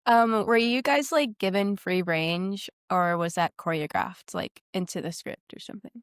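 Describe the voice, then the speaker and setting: Woman podcast host, clean smooth voice, playful casual chat, really dry studio sound. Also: medium pitch, moderate pace, no echo.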